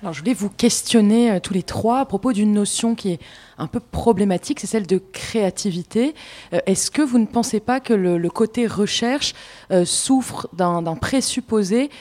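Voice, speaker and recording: A woman's voice: mid-range voice, normal speed, darkish tone. A woman putting a formal spoken question to the guests of a panel discussion.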